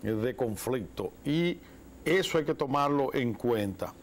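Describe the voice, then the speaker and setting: Man, Dominican accent, 40s, TV studio, lapel mic, animated commentary. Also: mid-range voice, measured pace, slight background noise. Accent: Dominican Spanish. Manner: animated, gestures with both hands. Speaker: talk show co-host. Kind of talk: political commentary.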